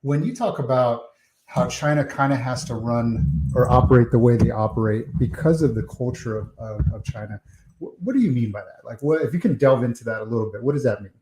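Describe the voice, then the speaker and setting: A man hosting a podcast interview, friendly and halting with many fillers. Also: medium pitch, darkish tone, a little hiss or hum. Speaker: podcast host. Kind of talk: casual interview. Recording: echoey room.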